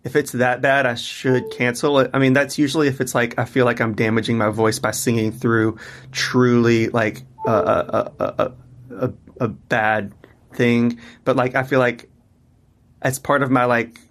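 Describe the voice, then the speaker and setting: A man's voice: medium pitch, level tone, moderate pace, fairly bright tone, a little hiss or hum. A man in his thirties or forties, a musician, chatting loosely in an interview with lots of restarts. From Mississippi, US. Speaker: musician guest. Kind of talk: casual interview conversation.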